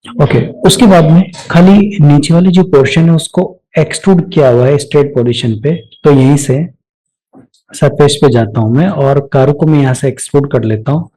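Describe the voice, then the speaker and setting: A man giving a step-by-step software tutorial off the cuff, mixing English technical terms into his speech. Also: a little high, normal speed, rough recording. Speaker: software instructor.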